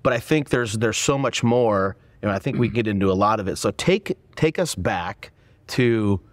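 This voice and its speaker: A man's voice: lowish voice, lively intonation, normal speed, no room sound. A man in his forties, a pastor hosting an interview podcast, talking earnestly with many restarts in a church auditorium. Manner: earnest, stumbling restarts, warm affirmations. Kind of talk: casual conversational interview. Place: dark church auditorium, indoors.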